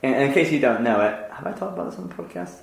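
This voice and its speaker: A man's voice: mid-range voice, animated, racing, vocal fry. Chatty rambling man in an echoey room. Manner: rambling, lots of fillers and restarts, laughs easily. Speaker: podcast host. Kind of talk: casual chat.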